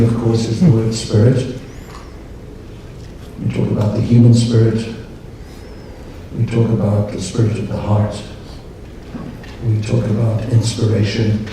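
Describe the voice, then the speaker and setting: Calm man guiding a meditation. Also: on the low side, a little hiss or hum. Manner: calm, slow, measured. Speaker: meditation leader. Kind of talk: guided meditation. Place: large conference hall. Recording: echoey hall, distant mic.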